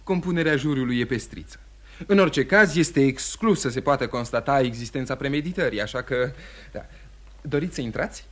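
An actor playing a courteous, composed lawyer in a radio play, explaining things in a measured, polite way. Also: male voice, mid-range voice, a bit bright, close to an everyday voice. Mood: composed, informative. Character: composed, courteous male lawyer commenting on a trial. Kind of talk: mid-century radio theatre acting.

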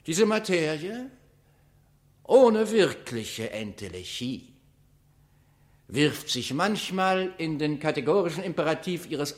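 Pompous philosopher, fairly high voice, grandiose lecturing declamation for vintage radio comedy. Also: male voice. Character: pompous male philosopher lecturing in lofty abstract jargon. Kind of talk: 1960s radio drama, mock-academic declamation.

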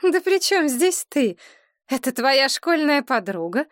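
A woman voicing an offended, mocking middle-aged mother who speaks in a sharp, raised voice. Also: sits high, big pitch swings, a little slow, no room sound, studio quality, pitched above the performer's own voice. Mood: indignant.

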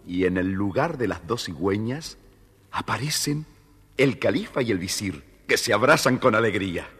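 A man narrating a scripted children's story in a measured, expressive way. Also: on the low side. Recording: reverberant studio story recording. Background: music.

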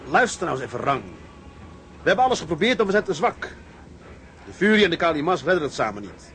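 An actor playing a sensible, weary tugboat captain, calming and matter-of-fact, in a radio drama. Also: male voice, a little high, quick pace, a little hiss or hum. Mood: firm. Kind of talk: Dutch radio drama acting.

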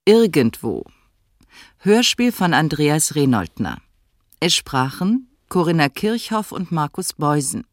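A woman's voice: low voice, big pitch swings, takes it slowly, no room sound, clean studio sound. A woman reading scripted credits as a radio play announcer.

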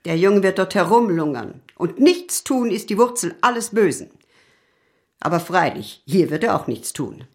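A woman's voice: lowish voice, lively intonation, normal speed, a little mellow. A woman playing a cold, severe mistress of the house in a radio play, curt and formal. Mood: cold, dismissive.

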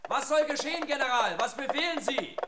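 A man's voice: extremely high pitch, expressive, a little fast. An actor playing an anxious aide who reports urgently to his general in a radio drama. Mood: alarmed, urgent. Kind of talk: theatrical radio drama acting. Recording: echoey, noisy, distant.

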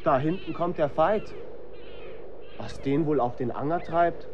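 A man's voice: a little high, measured pace, dull audio, a little hiss or hum, natural voice. A young man acting an ambitious, boastful executioner's son in a historical radio play, with vivid archaic phrasing.

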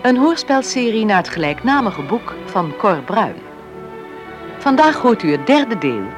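A man's voice: high voice, sing-song, measured pace. A man reading a scripted introduction to a radio drama series as announcer. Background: loud music.

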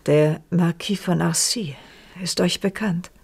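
A woman's voice: on the low side, slow pace, vocal fry, a little mellow, breathy edge, full character voice. An actress in a German radio play playing a scheming, wealthy widowed noblewoman, haughty, condescending and commanding.

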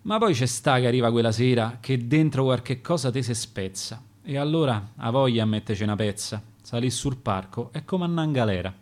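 A man with a Roman accent reciting his own rhymed sonnets on radio, in a measured, rhythmic verse delivery. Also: medium pitch, animated. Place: radio studio.

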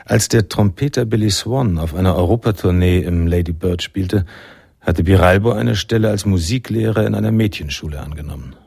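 A reflective noir storyteller in a radio drama. His voice is deep, dry and gravelly, telling a scripted jazz tale. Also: a little fast, a little mellow, dry recording, heavily acted. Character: male first-person narrator of a noir jazz tale, reflective.

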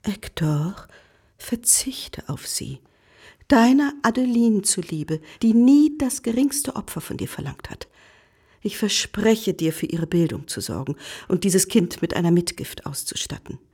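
An actress playing a devoted, long-suffering wife in a radio drama, pleading in a hushed, emotional way. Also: female voice, mid-range voice, animated, unhurried, breathy edge. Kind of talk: German radio drama acting.

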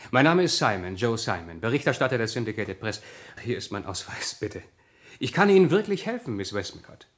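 An actor playing a politely persistent, probing newspaper reporter in a German radio crime drama. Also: male voice, mid-range voice, big pitch swings, a little fast, a bit bright. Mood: reassuring. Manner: probing, polite, hesitant question-asking. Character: male newspaper reporter, inquisitive, politely persistent amateur sleuth.

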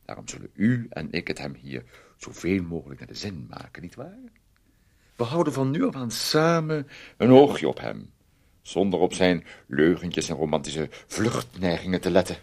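An unctuous, condescending man in a radio drama. His fairly low voice moves in wide swoops as he needles and mocks, insinuating with every phrase. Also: sing-song, normal speed, gravelly, a little mellow, exaggerated voice. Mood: unctuous, patronising. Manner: unctuous, mocking, with insinuating tag questions. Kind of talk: Dutch radio play acting.

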